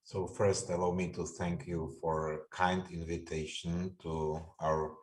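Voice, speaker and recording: Male panelist, very low voice, earnest delivery. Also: flat delivery, unhurried. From Czech Republic. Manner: earnest, non-native phrasing. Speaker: university panelist.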